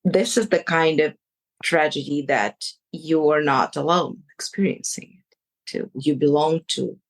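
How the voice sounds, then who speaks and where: Slow low-voiced woman with a Ukrainian accent. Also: low-quality recording. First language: Ukrainian or Russian. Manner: slow and searching, pausing between words. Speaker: coach, interview guest. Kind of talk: reflective interview answers.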